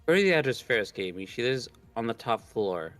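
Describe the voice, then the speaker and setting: A man's voice: mid-range voice, big pitch swings, fairly quick, fairly bright tone. A man co-hosting a casual visual novel stream, joking, needling and swearing freely, with music behind him. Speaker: stream co-host reading the game's lines aloud. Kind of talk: casual banter and reading aloud from a visual novel.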